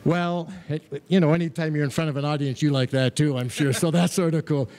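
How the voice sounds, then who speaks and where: A man's voice: a little high, fairly even intonation, brisk, darkish tone. An older man, a guest musician, answering interview questions in a genial, easygoing way on a fairground barn stage. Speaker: accordionist guest. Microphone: host's handheld mic.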